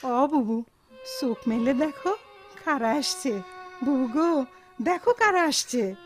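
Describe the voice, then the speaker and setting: Slow, high-voiced fretful village aunt. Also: female voice, animated, faint music behind. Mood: relieved, coaxing. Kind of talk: Bengali radio drama acting.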